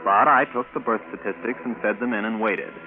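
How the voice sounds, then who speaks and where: An actor playing a matter-of-fact former rocket pilot turned computer operator in a 1950s radio drama, plainspoken and conversational. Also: male voice, lowish voice, fairly even intonation, fairly quick, natural voice. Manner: plainspoken, conversational first-person storytelling. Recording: tinny vintage radio recording with music.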